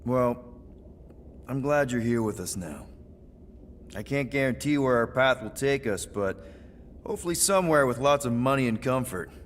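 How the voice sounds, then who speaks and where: A man's voice: medium pitch, animated, normal speed, pauses often, slight background noise, lightly acted. A man playing a casual, wry young space-outlaw team leader in a video game cutscene. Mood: sincere, wry. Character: wisecracking young human male space-outlaw team leader, laid-back.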